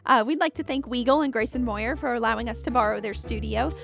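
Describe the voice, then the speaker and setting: A woman's voice: on the high side, fast talker, creaky, a bit bright, soft background music. A young woman co-hosting a podcast, interviewing in a conversational style from a studio. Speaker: podcast co-host. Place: recording studio.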